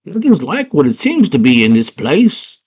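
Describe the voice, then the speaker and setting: Man putting on a high-voiced friendly little worm. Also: huge pitch swings, moderate pace, darkish tone, breathy edge, exaggerated voice. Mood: coaxing. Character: small friendly worm with big eyes, helpful and homely.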